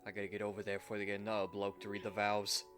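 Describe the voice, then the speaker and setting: A man voicing a rough, chummy male rogue with blunt, slangy British-style speech in an anime fan roleplay. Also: fairly low pitch, completely flat delivery, rapid-fire, fairly bright tone, soft background music, lightly acted. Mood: muttering, hurried.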